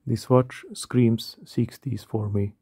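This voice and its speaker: A man in his thirties from Hungary with a Hungarian accent, calmly narrating a scripted voice-over about watches. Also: mid-range voice, slow, breathy edge, no room sound, studio quality. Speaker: watch collector.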